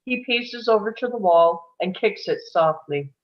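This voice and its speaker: A woman's voice: medium pitch, lively intonation, a little slow, lo-fi sound. A woman reading stage directions as scripted narration at a live table read.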